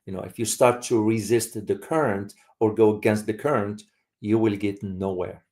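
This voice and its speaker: A man from Morocco hosting a casual live community discussion, speaking haltingly and often repeating his words. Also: lowish voice, steady tone, darkish tone. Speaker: host.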